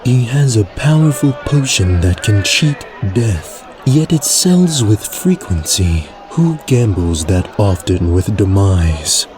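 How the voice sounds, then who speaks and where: A man's voice: lowish voice, big pitch swings. A man playing a brooding necromancer with grave, formal phrasing in a fantasy audio drama. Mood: ominous. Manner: slow, formal, ominous phrasing. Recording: music behind the voice.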